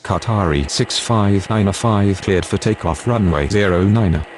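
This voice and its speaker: A man playing a steady, businesslike pilot and controller in a game, using clipped radio phraseology. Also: on the low side, normal speed, noisy background, close to an everyday voice. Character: steady, businesslike male airline pilot and air traffic controller.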